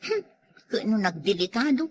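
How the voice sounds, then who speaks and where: A woman's voice: medium pitch, sing-song, moderate pace, natural voice. A woman with an Ilocano accent playing a nagging, complaining wife in a village comedy drama. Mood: grumbling.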